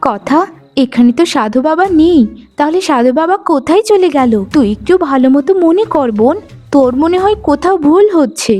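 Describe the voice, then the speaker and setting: A woman voicing a kind, trusting young wife and devoted sister in a melodramatic cartoon, earnest and expressive. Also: sits high, a little fast. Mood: helpful. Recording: music bed under the voice.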